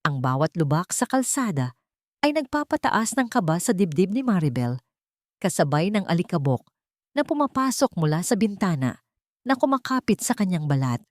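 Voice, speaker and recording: A woman's voice: lowish voice, big pitch swings, normal speed, darkish tone, dry recording, clean studio sound. A woman reading a dramatic scripted story as an audiobook narrator.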